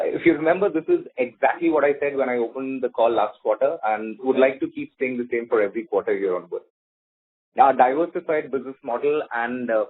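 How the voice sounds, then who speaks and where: A man's voice: mid-range voice, slightly rough. A man giving prepared opening remarks as host of a corporate earnings call. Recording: muffled narrowband conference call line.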